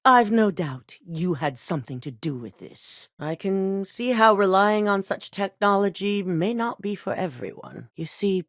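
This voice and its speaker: A woman's voice: mid-range voice, no room sound, studio quality, close to an everyday voice. An actress playing a cold, commanding female corporate executive in a video game, speaking in a curt, businesslike way.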